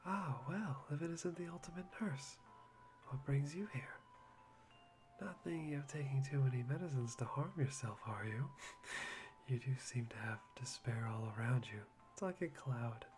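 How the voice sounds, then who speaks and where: A man playing an unsettling, self-deprecating young man obsessed with hope, breathy and theatrical in an anime-style ASMR roleplay. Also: medium pitch, normal speed, music in the background, close to an everyday voice. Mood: needling. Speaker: voice actor in fan roleplay. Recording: clear background noise, insect ambience.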